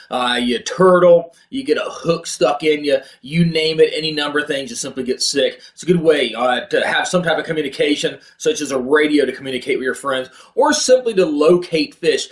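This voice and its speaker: A man's voice: fairly high pitch, reverberant. A man hosting an instructional video, talking in a casual, plain-spoken, practical way about gear. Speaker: video host, kayak fishing presenter. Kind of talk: casual instructional product talk.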